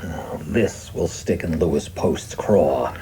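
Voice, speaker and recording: An actor in a radio play playing a blustering, profane political boss who talks excitably and stumbles when heated. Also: male voice, very deep voice, slow, slight background noise. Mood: gloating, eager.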